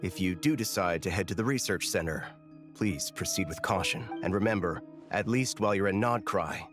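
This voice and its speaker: An actor voicing a polite, dutiful soldier in a video game, speaking formally and with measure. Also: male voice, low voice, moderate pace, over music, natural voice.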